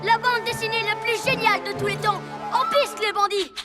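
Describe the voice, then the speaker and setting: Very high child voice, boastful, theatrical, cartoon dub, loud music underneath. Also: female voice, fairly even intonation, brisk. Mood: gleeful scheming. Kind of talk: French cartoon dub acting. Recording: music behind the voice.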